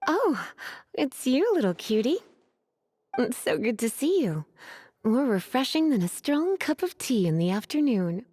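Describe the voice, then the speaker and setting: An actress playing a languid, teasing, sweetly menacing librarian in a video game, with a coy drawl. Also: female voice, high voice, big pitch swings, measured pace, warm tone, faint music behind. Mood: coy, flirtatious.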